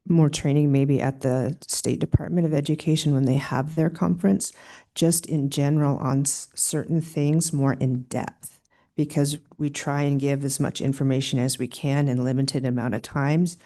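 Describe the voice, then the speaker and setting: Brief: soft-spoken superintendent giving hearing testimony, gravelly and dark-toned. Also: mid-range voice, steady tone, normal speed. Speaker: school superintendent.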